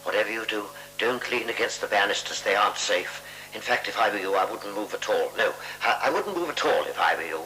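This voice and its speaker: A man's voice: on the low side, expressive, a little fast, natural voice. An actor playing an anxious, demanding male hotel guest in a 1970s radio play, imperious and fretful, on an old radio recording. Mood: uneasy, cautious. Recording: hissy, humming 1976 radio recording.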